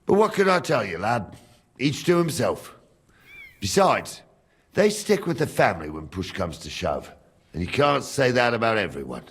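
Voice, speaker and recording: A gruff old captain of the castle guard in a medieval video game. His voice is husky and moves up and down freely as he talks, blunt and teasing in everyday speech. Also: medium pitch, big pitch swings, moderate pace. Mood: gruff, matter-of-fact. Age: older. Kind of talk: video game dialogue acting.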